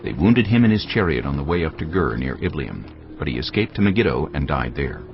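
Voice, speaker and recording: Male narrator, extremely deep, gravelly, dramatic scripted scripture reading, muffled audio, music bed.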